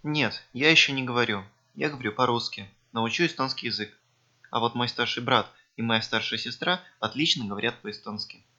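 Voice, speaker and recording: A man with a bright, forceful voice reads scripted textbook dialogues for a language course. He speaks clearly and evenly. The recording sounds dull and lo-fi. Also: medium pitch, fairly quick. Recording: dull lo-fi audio.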